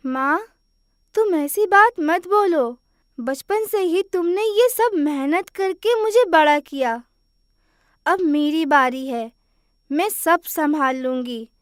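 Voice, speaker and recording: Cartoon role: kind young village daughter female actor, very high voice, unhurried, music behind. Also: animated, no echo, studio quality. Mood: reassuring. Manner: gentle, polite, reassuring. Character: dutiful, kind young village woman, lemon seller. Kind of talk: Hindi moral-story cartoon voice acting.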